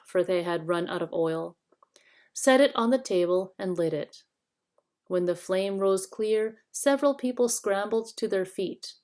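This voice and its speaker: A woman reading a children's story aloud as narrator, in expressive scripted narration. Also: medium pitch.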